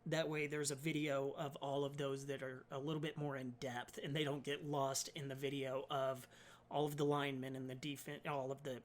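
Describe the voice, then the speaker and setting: A man, his voice slightly raspy and soft from allergies, talking through a casual hobby tutorial with plenty of ums and uhs. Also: medium pitch, flat delivery, normal speed. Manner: chatty, many ums and uhs, apologetic. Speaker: miniatures hobby YouTuber.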